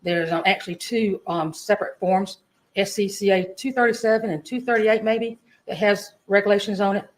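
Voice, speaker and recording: A woman's voice: medium pitch, fairly even intonation, moderate pace. A woman, testifying in court as a clerk, answering politely and matter-of-factly. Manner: polite, matter-of-fact, answers with yes sir.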